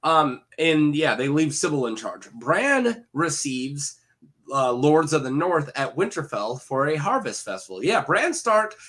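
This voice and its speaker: A man's voice: a little high, big pitch swings, unhurried, a bit bright. A man with a head cold talking casually about fantasy lore, rambling with many fillers and restarts. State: head cold, occasional coughing. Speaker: lore video host. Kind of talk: casual lore deep dive.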